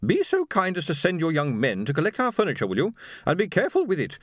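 A man's voice: a little high, wildly swooping pitch, quick pace, no echo, clean studio sound, pitched above the performer's own voice. A man voicing a haughty, domineering, disapproving wife who talks in an imperious, demanding snap for an audiobook. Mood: bossy. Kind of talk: audiobook character voice.

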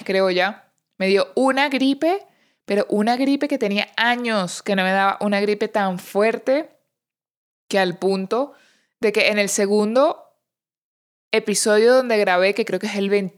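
A woman hosting a casual solo podcast, speaking informally and encouragingly straight to her listeners. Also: mid-range voice. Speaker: podcast host.

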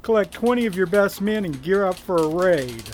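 A man's voice: high-pitched, lively intonation, normal speed, slight background noise. A man playing a brusque, wry Prohibition agent and boss, clipped and commanding, in a 1930s radio drama. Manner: clipped, commanding, hard-boiled.

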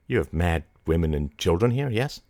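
Male narrator as intense volatile woman, commanding, fragile, dramatic phrasing, very varied pitch, dry close sound. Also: lively intonation, darkish tone, no room sound. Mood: cool, commanding. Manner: dramatic, deliberate, mercurial.

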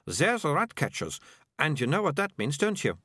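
A man's voice: a little high, wildly swooping pitch, bright, forceful tone, dry recording, studio quality, voice pushed up, heavily acted. A man voicing a bossy, dramatic young girl in a storybook, insistent and very sure of herself. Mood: self-assured. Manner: insistent, brisk, self-assured.

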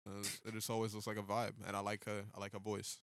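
A man's voice: lowish voice, monotone, quick pace, slight background noise. A man in casual chat with friends, laid-back and blunt with his opinions.

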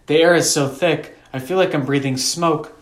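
Man playing a whiny, frightened little girl, pitched up. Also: steady tone, moderate pace, reverberant, close to an everyday voice. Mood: plaintive. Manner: pleading, protesting, petulant.

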